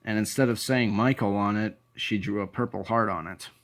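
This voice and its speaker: A man talking in a deliberate, sentimental way, as in a casual show-and-tell video, sometimes reading aloud. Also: on the low side, steady tone, a bit bright. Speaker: YouTube video creator.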